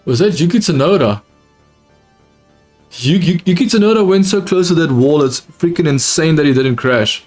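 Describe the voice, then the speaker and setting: A young man, not a native English speaker, with an accent close to British, reacting live to a race on stream in a casual, excitable way that often repeats itself. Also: fairly high pitch, moderate pace, faint music behind. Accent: close to British, not fully. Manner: excitable, repeats himself, calls viewers dude. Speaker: livestream host watching a race.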